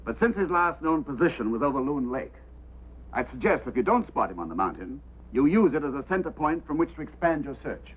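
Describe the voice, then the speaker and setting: An actor playing a brusque, impatient, skeptical mining company boss, commanding and dismissive, in mid-century film drama style. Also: male voice, on the high side, big pitch swings, a little fast, slightly rough, phone-quality sound. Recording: thin, noisy old film soundtrack.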